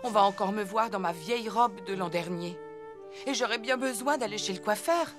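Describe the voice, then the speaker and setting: A woman voicing a gentle, modest elephant mother in a children's cartoon, warm and expressive. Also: mid-range voice, normal speed, lightly acted. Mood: unsure, wistful. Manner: warm, expressive, slightly self-deprecating. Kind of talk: French children's cartoon acting. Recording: music behind the voice.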